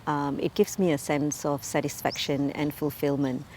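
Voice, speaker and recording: Earnest woman, lower voice. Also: level tone, darkish tone, low-quality recording. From Malaysia. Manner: measured and earnest, with frequent um and uh fillers. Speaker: community cultural ambassador. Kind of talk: community profile interview.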